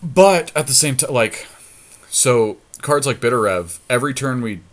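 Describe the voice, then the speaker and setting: A man's voice: mid-range voice, lively intonation, moderate pace, a bit bright. A man co-hosting a podcast, chatting casually about card game strategy and thinking his choices through aloud. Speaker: podcast co-host.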